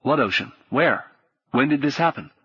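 A man playing an anxious, protective young man who speaks low, urgent and earnest. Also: expressive, moderate pace, a bit bright, husky, no room sound, muffled recording. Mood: puzzled.

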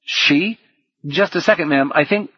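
Audiobook teen hero, played by a man: earnest, emphatic, pitched up, faintly husky, dry close sound. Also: measured pace, no room sound, highs cut off. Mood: polite, urgent. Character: earnest, insistent teenage boy hero.

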